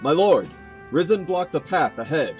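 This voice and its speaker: Fantasy drama casting: steadfast male knight, actor-voiced, orderly military manner, clear music bed underneath. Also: a little high, sing-song, normal speed. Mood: urgent, dutiful. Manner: formal, orderly reporting. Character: dutiful, formal male knight lieutenant. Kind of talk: fan audio drama acting.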